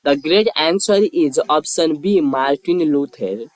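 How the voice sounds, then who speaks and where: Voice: mid-range voice, unhurried, dry recording. Dry, close-recorded quiz narrator. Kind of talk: scripted quiz narration, question and options read aloud.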